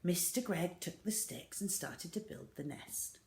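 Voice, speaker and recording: A woman's voice: fairly low pitch, measured pace, creaky, darkish tone, reverberant. A woman reading a children's story aloud expressively as storyteller.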